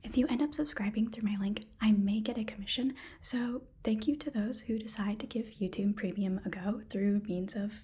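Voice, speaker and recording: A woman's voice: mid-range voice, moderate pace, hardly any pauses, mellow, slightly rough. A woman whispering breathily in a casual ASMR style as she reads a sponsor message.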